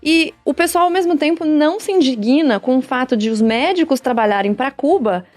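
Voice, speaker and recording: A woman's voice: sits high, animated, brisk, darkish tone, faint music behind. A woman talking in a podcast discussion, explaining her points with emphasis.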